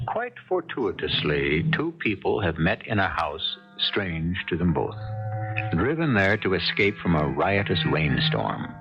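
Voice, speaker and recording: A man hosting and narrating a 1970s radio drama in measured, portentous, dramatic phrases. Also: low-pitched, very animated. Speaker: host and narrator. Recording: dull, lo-fi 1970s radio recording. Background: music.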